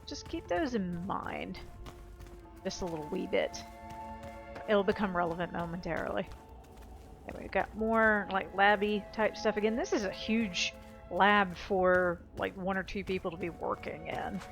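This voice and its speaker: A woman's voice: mid-range voice, moderate pace, vocal fry, faint music behind. A woman giving casual gameplay commentary, chatty and explanatory, often hedging her thoughts.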